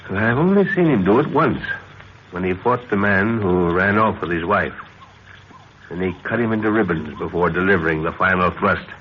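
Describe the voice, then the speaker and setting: An actor playing a middle-aged, authoritative adventurer who talks in a measured, reassuring way in an old radio serial. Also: male voice, low voice, expressive. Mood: admiring. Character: calm, authoritative older British adventurer, former secret agent. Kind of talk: 1930s radio adventure acting. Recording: muffled, hissy 1930s radio recording.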